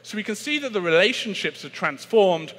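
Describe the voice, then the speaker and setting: A man's voice: high-pitched, very animated, fairly quick, a bit bright. A British man giving a conference keynote on sales in a chatty, self-deprecating way, with frequent fillers and restarts. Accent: British English. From Britain. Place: large conference hall. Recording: reverberant conference hall.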